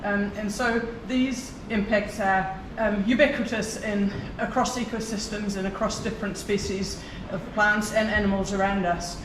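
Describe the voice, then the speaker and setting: A woman professor speaks earnestly from the audience at a mid pitch, with frequent hesitant ums and uhs. She sounds far from the microphone in an echoey hall, with background noise. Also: fairly even intonation, normal speed, few pauses. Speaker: professor and researcher. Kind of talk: conference question from the floor.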